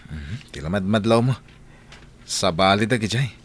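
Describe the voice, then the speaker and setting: Actor as low-voiced young Ilocano boyfriend. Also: male voice, animated, normal speed, natural voice. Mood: casual, defensive. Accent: Ilocano Filipino. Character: young man courting, smooth and devoted boyfriend. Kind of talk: Ilocano radio drama acting.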